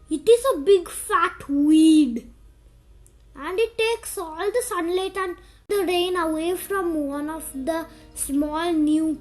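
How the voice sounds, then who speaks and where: Storybook read-aloud: very high young-sounding voice, unhurried, dramatic delivery. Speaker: children's story reader.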